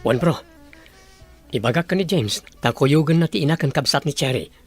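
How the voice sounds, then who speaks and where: An actor playing an earnest, humble young suitor in a Philippine radio drama, with a husky voice. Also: male voice, on the high side, lively intonation, moderate pace, soft background music. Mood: casual.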